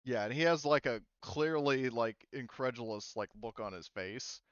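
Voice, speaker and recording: A man's voice: medium pitch, normal speed, smooth, no room sound. A man running a tabletop game as game master, narrating in a rambling way with lots of fillers. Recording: slightly dull, dry online audio.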